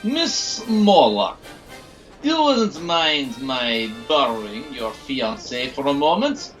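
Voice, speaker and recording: An actor playing a menacing doctor villain in an audio drama, theatrically courteous and falsely polite. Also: male voice, medium pitch, sing-song, slow pace, a bit bright. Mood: silky, insistent. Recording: music under the voice.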